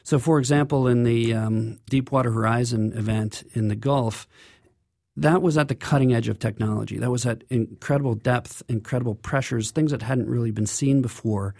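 A man's voice: mid-range voice, moderate pace, vocal fry, darkish tone, no echo. Man explaining in a dry studio interview. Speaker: interview guest, engineer.

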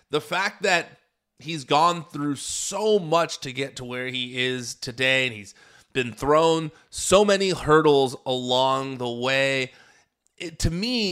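A man's voice: fairly high pitch, a bit bright. A man hosting a podcast alone, introducing a best-of episode in an earnest, rambling way with frequent restarts. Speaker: podcast host.

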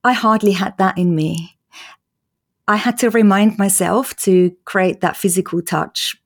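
A woman in her forties from Austria, with an Austrian accent, speaking openly and earnestly as an interview guest. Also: mid-range voice. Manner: earnest, open, quick-flowing. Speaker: guest, patient advocate. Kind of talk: candid interview conversation.

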